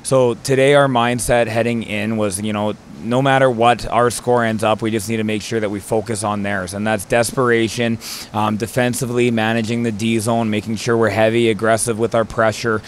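A man's voice: fairly low pitch, little pitch movement, normal speed, slight background noise. A young man, an assistant coach, answering postgame questions beside a hockey rink, peppering his talk with fillers and "right?". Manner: filler-heavy, repeats words, says "right?". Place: indoor hockey arena rinkside. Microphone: handheld mic held by interviewer.

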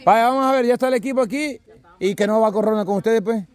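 A man's voice: very high voice, quick pace. A man with a Salvadoran accent hosting and refereeing outdoor games, teasing and urging players on with repeated phrases. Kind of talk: lively game commentary and banter. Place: outdoor park with dirt ground and trees. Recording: outdoors amid a loud crowd.

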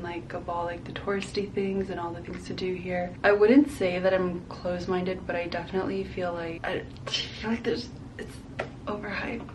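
A woman's voice: mid-range voice, far from the mic, noisy background. Chatty young woman vlogging to camera. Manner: chatty, rambling, heavy use of "like". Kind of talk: casual vlog chat to camera. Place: small hotel room and bathroom, indoors. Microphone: handheld camera close up.

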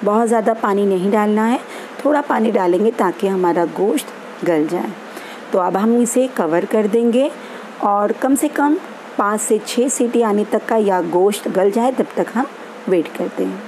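A woman hosting a home cooking channel, calmly explaining each step of a recipe in an easygoing, instructive way. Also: medium pitch, unhurried, a little hiss or hum, low-quality recording. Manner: easygoing and instructive, repeats steps. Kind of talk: home cooking tutorial voice-over. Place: home kitchen.

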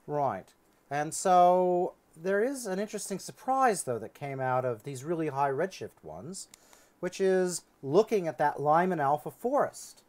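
A man's voice: fairly high pitch, lively intonation, a little slow, a little mellow. Middle-aged man lecturing conversationally on science. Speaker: astronomy lecturer. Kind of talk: conversational science lecture in a two-person dialogue.

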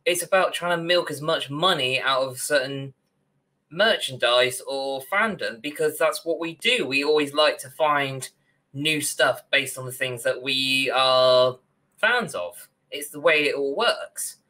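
A man's voice: medium pitch, measured pace, pushed and bright. A young man co-hosting a podcast over a video call, ranting in a casual, emphatic, mildly sweary way. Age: young adult. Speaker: podcast co-host. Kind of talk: casual opinionated discussion. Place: indoor room, video call.

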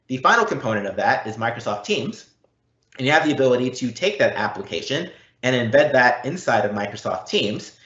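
A man with a fairly low voice explains technical material as the presenter of an online Q&A. The audio is roomy and somewhat dull, like call audio from a reverberant room. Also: fairly bright tone. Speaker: presenter answering audience questions. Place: indoor room, live online event.

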